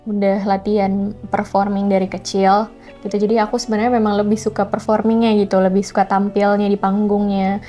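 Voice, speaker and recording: Mellow young woman, chatty. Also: mid-range voice, a little fast, faint music behind. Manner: chatty, expressive, mixes in English phrases. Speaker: singer-songwriter guest. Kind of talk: casual interview chat.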